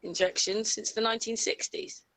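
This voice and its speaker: A woman's voice: on the high side, steady tone, moderate pace, fairly bright tone, low-quality recording. A woman in casual, opinionated discussion on an online call. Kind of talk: casual conspiracy-minded discussion.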